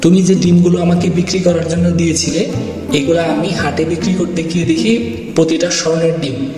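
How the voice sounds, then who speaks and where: A man's voice: a little high, steady tone, fairly quick, natural voice. A man playing a lazy, boastful, dismissive village husband who dreams of riches, in a cartoon. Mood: excited. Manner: dismissive, big-talking. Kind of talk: cartoon voice acting. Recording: echoey reverberant voice, music behind.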